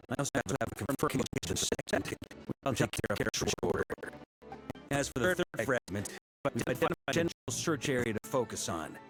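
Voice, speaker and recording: An actor playing a confident, genial knight commander in a fantasy video game, with a rallying, theatrical manner. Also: male voice, medium pitch, natural voice, low-quality recording. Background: game music.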